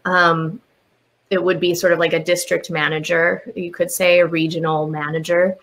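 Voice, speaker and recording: A woman's voice: fairly low pitch, little pitch movement, moderate pace, a bit bright, slight background noise. A woman translator musing on a video call panel, full of fillers and trailing thoughts. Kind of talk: reflective panel discussion.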